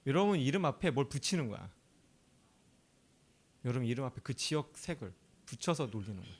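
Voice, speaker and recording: A man's voice: mid-range voice, big pitch swings, a little fast, slightly rough. A male pastor from Chungcheong, South Korea, preaching a sermon in a conversational way. He often asks the audience questions and laughs easily.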